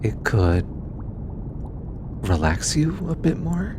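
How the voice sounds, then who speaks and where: A man playing a gentle, studious alien scientist in an intimate audio roleplay, soft-spoken and patient, hesitant when flustered. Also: on the high side, very animated, slow, noisy background. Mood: gentle, reassuring. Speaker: voice actor. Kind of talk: intimate scripted audio roleplay, addressing the listener.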